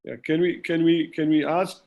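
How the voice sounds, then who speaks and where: A man's voice: on the high side, little pitch movement, moderate pace, vocal fry, slight background noise. A man moderating a webinar, briefly passing the floor between speakers. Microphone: video call. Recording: distant, dull call audio.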